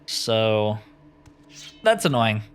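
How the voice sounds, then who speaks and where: A man's voice: on the low side, big pitch swings, extremely slow, clean tone. A man giving casual let's play commentary, chatty and informal as he thinks aloud. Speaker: gaming channel host.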